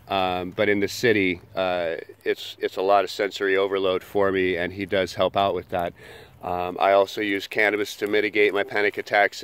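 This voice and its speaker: A man's voice: fairly low pitch, fairly bright tone, smooth. A man in his early forties with an American accent, introducing himself informally to camera in a candid, earnest, plain-spoken way. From California, United States. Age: early 40s. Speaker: job seeker introducing himself. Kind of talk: informal video résumé, talking to camera.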